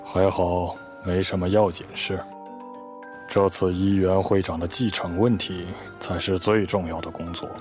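An actor playing a composed, courteous middle-aged lawyer in an audio mystery drama, speaking in a measured, thoughtful way. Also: male voice, deep voice, little pitch movement, darkish tone. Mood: calm, thoughtful. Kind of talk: radio drama acting. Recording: prominent background music.

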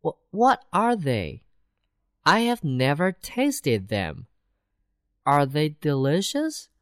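A woman voicing a simple, cheerful country mouse in a children's storybook reading, slow and wide-eyed. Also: low voice, wildly swooping pitch, no room sound, clean studio sound, full character voice. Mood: welcoming.

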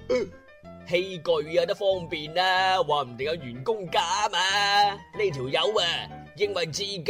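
A woman's voice: on the low side, fairly bright tone. A woman with a Guangzhou Cantonese accent narrating a comic radio drama in slangy, mocking storyteller style, drawing words out for emphasis.

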